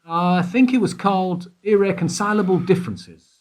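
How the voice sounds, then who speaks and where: A man's voice: high-pitched, moderate pace, natural voice. A man acting in a radio play as a wry investigator, questioning in a probing, insistent way. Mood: wry, subdued.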